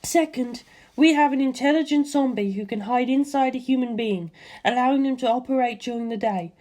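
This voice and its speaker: A woman voicing a commanding female warrior who lays out tactics in a brisk, analytical way. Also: sits high, dark tone, voice pushed up, natural voice.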